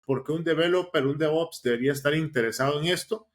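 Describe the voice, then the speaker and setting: A man's voice: fairly high pitch, fast talker. A man hosting a podcast, welcoming listeners enthusiastically with frequent "eh" fillers. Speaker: podcast host. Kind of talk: casual podcast chat.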